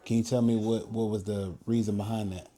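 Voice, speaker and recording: Chill podcast host guy, lowish mellow voice, laughs a lot. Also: little pitch movement, brisk, a little hiss or hum. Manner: easygoing, laughs often, leans on filler phrases. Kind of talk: casual interview.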